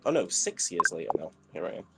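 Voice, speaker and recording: A man's voice: deep voice, fast, gravelly, very noisy. A British man in his thirties, a guest DJ, chatting casually and telling his story with lots of fillers. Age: 30s. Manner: relaxed storytelling with frequent fillers. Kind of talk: casual interview chat.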